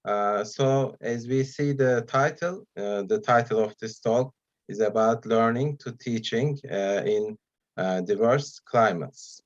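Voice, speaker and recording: A man whose first language is Turkish gives an online talk as guest speaker, pausing often with fillers between phrases. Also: mid-range voice, lo-fi sound. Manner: hesitant, many pauses and fillers.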